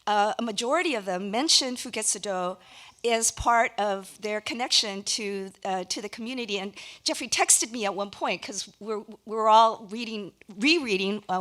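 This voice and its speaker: A woman giving a public speech as a contest judge at a live awards ceremony, talking conversationally with hesitant pauses. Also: mid-range voice, animated, a bit bright. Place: live event before an audience.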